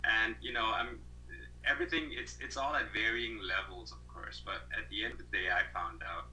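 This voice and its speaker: A man's voice: on the low side, moderate pace, sharp tone, a little hiss or hum, poor audio. A man in his thirties from Malaysia, a guest on an interview over a video call, talking thoughtfully with many fillers and restarts.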